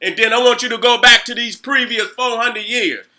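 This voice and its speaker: A middle-aged man with a strikingly high voice and a sharp, piercing edge rants to his viewers. His talk is heated and profane, loaded with rhetorical questions and the same catchphrase again and again. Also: normal speed. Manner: fiery, profane, rhetorical, repeats "you know what I'm saying". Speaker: host.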